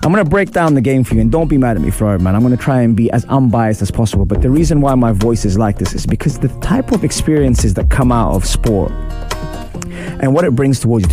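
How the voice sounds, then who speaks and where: A man with a husky voice hosting a radio show, chatting casually through a football match review and greeting listeners. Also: mid-range voice. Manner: chatty, shout-outs to listeners. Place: radio studio.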